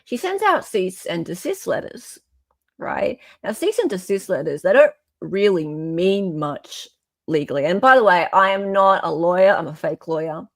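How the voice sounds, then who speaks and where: Woman, Australian accent, casual opinionated host, gravelly fry, dry close sound, conversational. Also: fairly low pitch, very animated, normal speed, no room sound. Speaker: live stream host.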